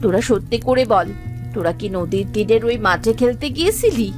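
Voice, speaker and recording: A woman's voice: a little high, sing-song, a little fast, over music. A woman voicing a concerned village mother in a children's moral-story cartoon, questioning her daughters in a motherly way. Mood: concerned, probing.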